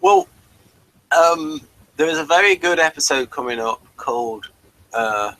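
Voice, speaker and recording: A man's voice: a little high, animated, unhurried, sharp tone, rough recording. A man co-hosting a casual review chat. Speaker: podcast co-host reviewing TV episodes.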